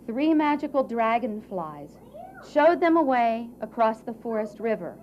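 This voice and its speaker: A woman narrating a scripted fairy tale for a puppet show in a theatrical way, with dramatic pauses and emphasis. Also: on the high side, expressive. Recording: muffled, faint background noise.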